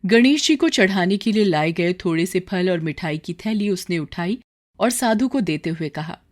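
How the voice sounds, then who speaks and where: Expressive, lower-pitched woman storyteller. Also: normal speed. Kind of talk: scripted dramatic narration of a moral story.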